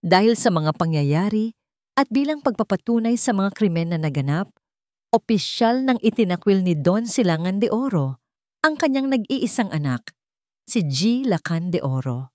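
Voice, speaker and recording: A woman voicing a male family attorney in a dramatic audio storybook, formal, measured and official. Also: medium pitch, lively intonation, a little mellow, dry recording, clean studio sound, close to an everyday voice.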